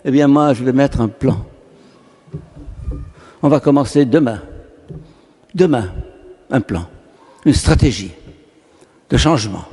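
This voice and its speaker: A man giving an impassioned public speech, slow and declamatory, stacking word after word for effect. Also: a little high, dark tone. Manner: emphatic, slow and declamatory, lists words in a row. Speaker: speaker at a public meeting. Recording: long hall reverb.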